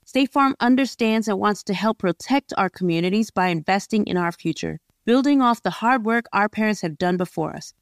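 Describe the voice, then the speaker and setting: Woman reading an ad, steady earnest, bit of rasp, dry. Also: mid-range voice, no echo. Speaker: podcast host reading an ad. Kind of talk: read advertisement.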